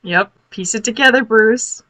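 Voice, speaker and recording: A woman giving casual, expressive live reaction commentary on a cartoon as a video host, full of exclamations. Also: fairly high pitch, a little slow. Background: cartoon soundtrack playing.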